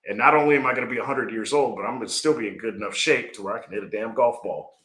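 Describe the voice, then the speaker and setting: Business-owner guest, early 40s; bright forceful tone, lowish pitch, echoey room sound. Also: male voice, very fast. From Georgia. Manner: earnest, rambling, frequent "right?". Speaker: landscaping company owner, guest. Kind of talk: casual podcast conversation.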